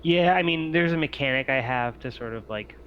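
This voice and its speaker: A man with a mid-pitched voice works as game master for a tabletop game, talking players through it. The sound is dull and lo-fi, with noise behind him, as on a group voice chat. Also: fairly quick, fairly bright tone. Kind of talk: casual explanation and scene narration for a tabletop game. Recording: muffled lo-fi voice chat, clear noise.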